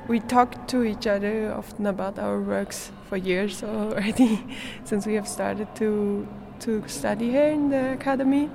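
A woman's voice: medium pitch, fairly even intonation, measured pace, gravelly, hardly any pauses, background noise. A young woman, answering interview questions in English as a performance artist, searching for words with many fillers. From Switzerland.

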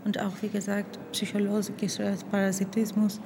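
Soft-spoken young woman, flat delivery. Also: mid-range voice, normal speed, vocal fry. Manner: soft-spoken, hesitant, searching for words. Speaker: art student interviewee. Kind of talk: casual interview answers. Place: basement exhibition room. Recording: noisy background.

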